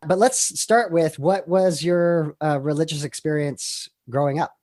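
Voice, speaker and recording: A man's voice: on the high side, unhurried, no room sound, clean studio sound. A man hosting a podcast, introducing his guest and asking questions in an encouraging way. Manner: warm, encouraging interviewer with short backchannels. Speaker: podcast host. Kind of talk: podcast interview.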